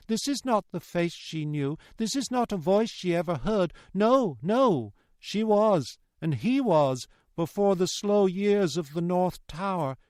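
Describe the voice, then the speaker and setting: Audiobook role: frail-minded old male prisoner played by a man, gloomy, agitated, halting, smooth tone lifted in pitch. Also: darkish tone, no room sound, clean studio sound. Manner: halting, repetitive, agitated speech. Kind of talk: dramatic audiobook character reading.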